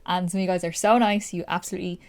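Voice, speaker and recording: A woman's voice: lowish voice, fairly even intonation, rapid-fire, clear, resonant tone. A young Irish woman with an Irish accent, chatting casually through a makeup tutorial, gushing and explaining each step as she goes. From Ireland. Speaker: makeup vlogger.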